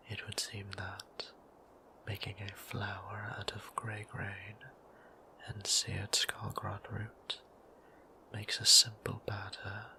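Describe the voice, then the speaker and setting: A man playing a gentle, courteous vampire priest in an intimate audio roleplay, speaking in a breathy whisper with formal, old-fashioned phrasing. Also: low-quality recording. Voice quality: breathy whisper with creaky low tone.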